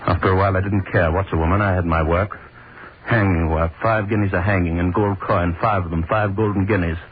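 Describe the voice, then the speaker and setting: A man's voice: low-pitched, steady tone, brisk, breathy edge. An actor playing a sadistic, haunted middle-aged hangman in a brooding, obsessive 1930s radio horror monologue. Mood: brooding, gloating. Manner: brooding, obsessive, repeats words. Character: gloating, sadistic, haunted old-time hangman. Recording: muffled, noisy 1930s radio recording.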